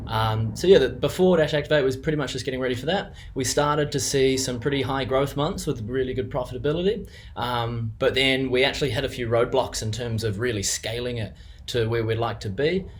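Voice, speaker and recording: A man's voice: medium pitch, fairly quick, slight background noise. A younger man with a New Zealand accent, a business co-owner giving an unscripted client testimonial, hesitant with many fillers and restarts.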